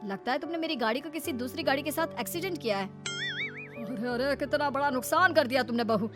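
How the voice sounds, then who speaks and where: A woman's voice: high-pitched, wildly swooping pitch, fairly quick, music in the background. Woman as a dismayed older father, lamenting. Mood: shocked. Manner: exclaiming, lamenting. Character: dismayed, money-minded older father of the groom.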